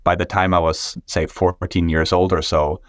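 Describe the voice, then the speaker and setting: A man's voice: low-pitched, little pitch movement, normal speed, dry recording, clean studio sound. A man from Sweden whose first language is Swedish, a startup founder answering interview questions thoughtfully, with many fillers and restarts. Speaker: guest, tech startup founder.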